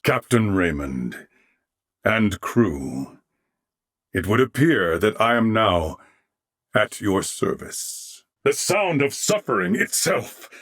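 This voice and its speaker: A man playing a courteous, dignified, loyal warrior in a video game, with formal, measured and humble diction. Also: fairly low pitch, dry recording, studio quality, exaggerated voice. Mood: alarmed, battle-ready. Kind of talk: scripted video game character acting.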